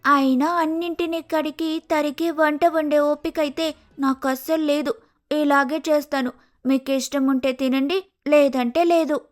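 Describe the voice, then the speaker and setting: A woman's voice: high voice, fairly even intonation, unhurried, soft background music. A woman voicing a lazy, sulky young sparrow daughter-in-law in a cartoon, with whiny, careless complaining. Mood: defiant.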